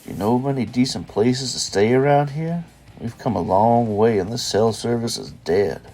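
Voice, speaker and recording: A man's voice: medium pitch, normal speed, a little mellow, soft background music, close to an everyday voice. An actor playing a weary middle-aged father in an audio drama, terse but protective and reassuring.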